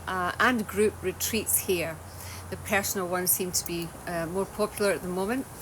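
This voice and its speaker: A woman's voice: medium pitch, fairly bright tone, a little hiss or hum, poor audio. A woman with a Scottish accent, a wellness coach talking casually on a livestream, loose and chatty and often restarting her sentences. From Scotland. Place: home in Australia.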